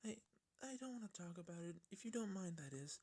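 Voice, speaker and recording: A man voicing a timid, gentle young female pegasus pony, hesitant and soft-spoken, in a storybook reading. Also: sits high, expressive, a little fast, voice pushed up. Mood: timid, reluctant. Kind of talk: fan fiction character voice.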